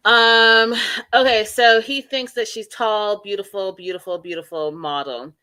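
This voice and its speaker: A woman's voice: medium pitch, fairly even intonation, a little slow, sharp tone, clean tone, no room sound. A woman hosting a casual reality TV recap, chatty and emphatic, talking straight to her viewers. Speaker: host.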